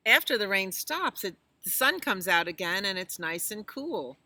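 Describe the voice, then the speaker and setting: A woman's voice: medium pitch, sing-song, moderate pace, pushed and bright, no room sound. A woman reading a picture book aloud to children, with chatty asides, exclamations and questions to her listeners. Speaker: children's storytime reader at a library.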